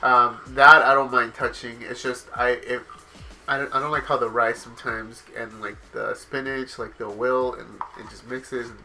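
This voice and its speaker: A man's voice: mid-range voice, flat delivery, normal speed, bright, forceful tone, faint music behind. Nasal young man with a cold. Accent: Southern Californian. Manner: rambling, self-correcting, many fillers. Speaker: vlogger. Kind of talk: casual voice-over narration.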